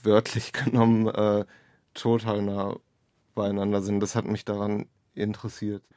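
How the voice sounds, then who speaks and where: Man, lowish flat pitch, dry studio sound, reflective author interview, hesitant searching delivery. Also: normal speed, no echo.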